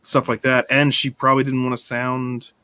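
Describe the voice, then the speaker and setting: A man's voice: medium pitch, completely flat delivery, normal speed, bright, forceful tone, clean tone, dry recording. A man hosting a casual online fan discussion, talking loosely with many fillers. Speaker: podcast host.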